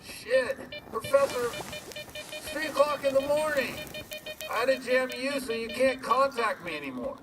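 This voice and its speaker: Game tinkerer: high voice, grumpy, casual, blunt, loud music underneath. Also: male voice, sing-song, normal speed. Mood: annoyed, groggy. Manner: blunt, informal. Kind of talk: video game voice acting.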